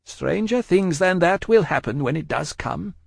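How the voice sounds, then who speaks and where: A man voicing a cold, composed French tavern-keeper's wife in an audiobook, speaking in measured, curt phrases. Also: fairly high pitch, lively intonation, creaky, no echo, studio quality, voice pushed up. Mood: grimly composed.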